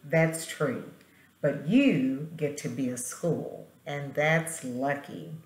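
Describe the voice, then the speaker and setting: A woman's voice: low-pitched, slow pace. A woman voicing a kindly, reassuring school janitor in a storybook, brief and matter-of-fact. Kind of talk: storybook character voice. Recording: roomy echo, light background noise.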